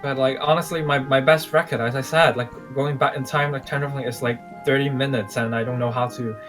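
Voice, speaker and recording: A man playing an unsure young time-traveling mage in a game roleplay, talking in a halting, rambling way. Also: mid-range voice, steady tone, a bit bright, faint music behind, lightly acted. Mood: hesitant, unsure. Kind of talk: improvised video game roleplay.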